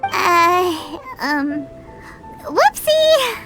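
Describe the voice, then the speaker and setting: A tiny, cheeky fairy sidekick from an anime game. The voice is extremely high and squeaky, with bouncy childlike intonation. Music plays behind it. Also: female voice, big pitch swings, measured pace, a bit bright, exaggerated voice. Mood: sheepish. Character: small chirpy floating fairy companion, childlike and cheeky.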